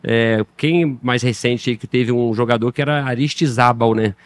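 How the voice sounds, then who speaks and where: A middle-aged man with a slight Minas Gerais accent talks as a podcast guest in a relaxed, hesitant way, often restarting his sentences. His voice sits at an average pitch. The sound is dry and close. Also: normal speed, dry recording. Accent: slight Minas Gerais Brazilian Portuguese. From Minas Gerais, Brazil. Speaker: podcast guest, bike shop owner. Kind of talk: casual interview chat.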